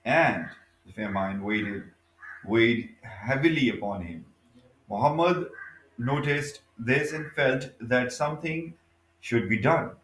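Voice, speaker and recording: A man reading a biography aloud as scripted narration, in a halting way, often stumbling and correcting himself. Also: medium pitch, fairly bright tone, slight background noise. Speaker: audiobook reader. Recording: echoey, reverberant room.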